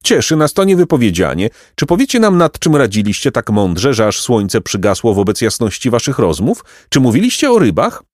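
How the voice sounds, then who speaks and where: A man voicing a vain, pompous, aristocratic pelican with a shrill squawking voice for a storybook. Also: wildly swooping pitch, fairly quick, few pauses, darkish tone, no echo, clean studio sound. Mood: excited. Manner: pompous, haughty, florid exclamations. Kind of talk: storybook character voice.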